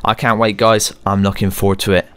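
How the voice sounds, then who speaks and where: A man's voice: on the low side, level tone, fairly quick, a bit bright, clean tone, dry recording. A man presenting semi-scripted gaming news as a channel host, speaking to viewers directly and informally.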